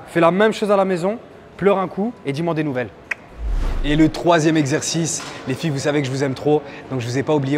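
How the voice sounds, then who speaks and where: Male fitness coach, fairly high voice, joking and motivational, casual tutorial delivery over a music bed. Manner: playful, teasing, motivational asides. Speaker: fitness coach presenting a workout. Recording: music under the voice. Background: background music.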